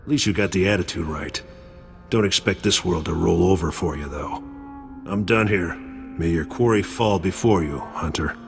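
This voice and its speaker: An actor playing a gruff, disgruntled rival bounty hunter in a video game. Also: male voice, low-pitched, moderate pace. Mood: grudging respect. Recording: reverberant, with background music.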